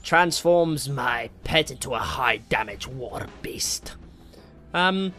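A man's voice: mid-range voice, expressive, takes it slowly, sharp tone, faint music behind. A young British man giving casual, rambling, jokey gameplay commentary, easily distracted as he goes. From Britain. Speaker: gaming video host.

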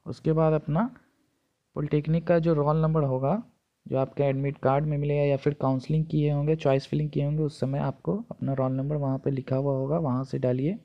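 A man with a slightly high voice gives informal tutorial instructions, repeating steps as he goes. The sound is dull and lo-fi, as from a phone microphone. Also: flat delivery, a little fast. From India. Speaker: tutorial presenter. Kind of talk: informal screen-recording tutorial narration.

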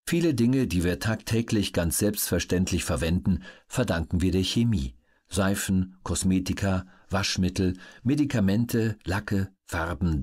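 A man reading scripted documentary narration for an audiobook in a measured, deliberate way. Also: low-pitched, darkish tone, breathy edge.

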